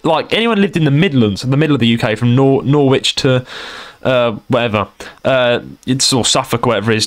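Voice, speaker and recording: A chatty young man with a London accent, rambling and circling back over his own points. He talks at a middling pitch in an easygoing podcast way. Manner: rambling, repeats himself, chatty. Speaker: podcast co-host. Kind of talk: casual podcast chat.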